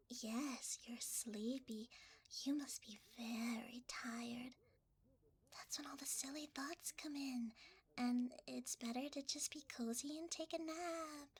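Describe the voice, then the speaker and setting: A woman voicing a sweet, nervous blanket mimic in soft, breathy, stammering ASMR. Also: high-pitched. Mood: nervous, soothing. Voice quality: soft, breathy, often whispered. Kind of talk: whispery ASMR roleplay.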